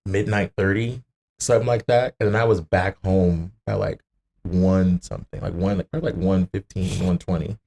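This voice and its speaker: A man's voice: low-pitched, fairly even intonation, moderate pace, gravelly, a little mellow. A man in his thirties, a podcast guest telling casual anecdotes with slang, swearing and acted-out quotes. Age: 30s.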